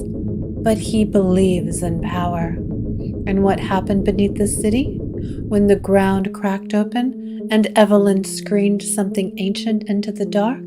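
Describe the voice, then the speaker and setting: Woman narrator, slow dramatic hushed delivery, guitar music in the back. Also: mid-range voice. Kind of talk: scripted gothic narration.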